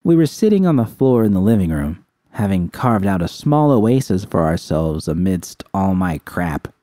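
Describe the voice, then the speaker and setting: A man's voice: sits low, sing-song, normal speed, mellow, dry recording, studio quality. A man narrating a scripted first-person story. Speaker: narrator, fan fiction reader.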